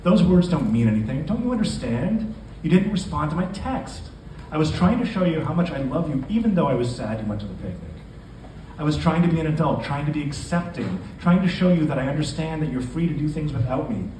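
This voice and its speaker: A man's voice: on the high side, animated, brisk, warm tone, voice pushed up, close to an everyday voice. Man reading as an anxious pregnant woman in an echoey room. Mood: hurt, reproachful. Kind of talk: literary fiction read aloud. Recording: echoey live room, distant mic, noisy.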